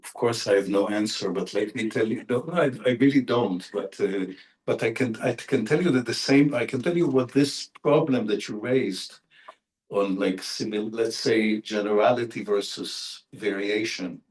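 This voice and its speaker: A man's voice: fairly low pitch, moderate pace, darkish tone. A man, a professor, answering audience questions in an unscripted academic talk with wry rhetorical questions and emphatic repetition.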